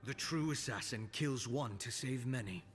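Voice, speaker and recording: A male actor voices a young shadow assassin from a video game, proud and cold. His mid-pitched voice delivers measured threats with defiant arrogance, set over prominent music. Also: close to an everyday voice. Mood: cold resolve. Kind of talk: video game character voice lines. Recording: music behind the voice.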